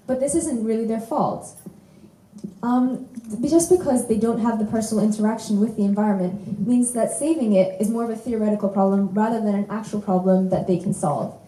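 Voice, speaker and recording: A woman's voice: mid-range voice, mellow. A teenage girl giving a rehearsed student presentation at a conference. She speaks earnestly and sometimes stumbles and corrects herself. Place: conference hall. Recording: roomy hall echo.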